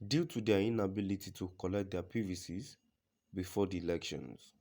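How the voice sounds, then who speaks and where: A man with a very deep voice reads the news from a script. His delivery is formal and deliberate, in the manner of a news reader presenting a written report. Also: darkish tone.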